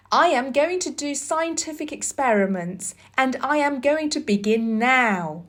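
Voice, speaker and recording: An imperious young storybook boy, played by a woman, earnest and determined. The voice sits very high and swings widely, declaring each line slowly and with emphasis.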